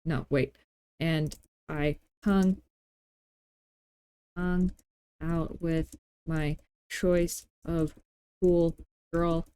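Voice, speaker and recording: A woman with a relaxed, mellow and slightly low voice leads a casual tutorial at an unhurried pace. She thinks out loud as she works and slips in playful, self-deprecating asides. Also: smooth. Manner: informal thinking aloud while working. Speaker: tutorial host. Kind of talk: casual screen-recorded software tutorial narration.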